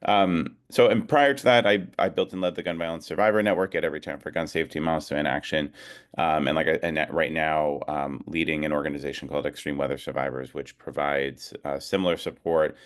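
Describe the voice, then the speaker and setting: A man speaking informally as an advocacy leader on a video call, reflective and hesitant, pausing often as he gathers his thoughts. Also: a bass voice, fairly even intonation. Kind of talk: informal talk at an online rally.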